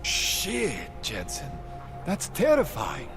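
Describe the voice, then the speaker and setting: A man's voice: medium pitch, huge pitch swings, slow, vocal fry, exaggerated voice. Twitchy male game tinkerer, swinging intonation, sly coaxing, music underneath. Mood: uneasy, coaxing. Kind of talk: video game cutscene acting.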